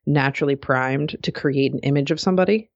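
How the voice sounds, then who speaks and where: A woman's voice: low voice, brisk, no echo, muffled recording. A woman co-hosting a podcast, chatting casually in an agreeable, reflective way. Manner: agreeable, reflective, with many fillers and hedges. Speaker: podcast co-host. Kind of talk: casual conversational chat.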